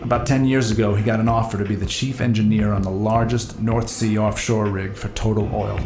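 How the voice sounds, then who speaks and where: A man's voice: fairly low pitch, steady tone, brisk, darkish tone, echoey room, soft background music, close to an everyday voice. An actor playing a rugged middle-aged treasure hunter and boat captain in an audio drama, telling his story in a casual, reflective way. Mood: nostalgic, enthusiastic. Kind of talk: naturalistic full-cast audio drama acting.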